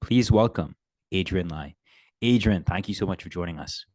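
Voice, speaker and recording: Deep-voiced podcast host man. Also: moderate pace, darkish tone, no room sound, studio quality. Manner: scripted, upbeat introductions. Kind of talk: podcast interview hosting.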